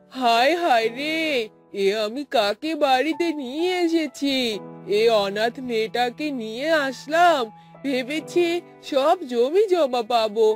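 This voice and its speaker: A woman voicing a scheming, greedy older mother-in-law in a cartoon, with a drawn-out, theatrical delivery. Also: high voice. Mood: outraged wailing. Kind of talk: Bengali cartoon voice acting.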